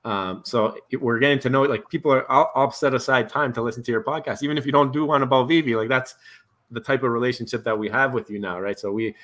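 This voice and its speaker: A man hosting a casual video call chat, relaxed and rambling, laughing easily. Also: mid-range voice. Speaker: host.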